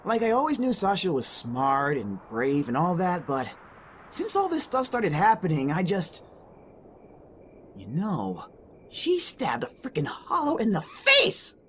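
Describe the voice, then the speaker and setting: A man playing a friendly, excitable young man in an amateur audio drama, talking in casual slang. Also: high-pitched, a little slow, a little hiss or hum, full character voice. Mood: amazed.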